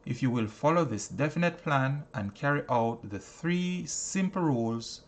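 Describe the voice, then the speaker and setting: Slow, measured male audiobook narrator. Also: mid-range voice, lively intonation. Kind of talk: scripted audiobook narration of a self-help book.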